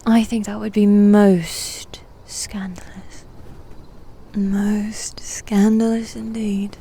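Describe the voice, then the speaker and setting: A woman playing a haughty, teasing female demon knight in an ASMR roleplay, speaking softly and deliberately, often dropping into a whisper. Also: mid-range voice, flat delivery, creaky, slight background noise. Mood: sly, taunting.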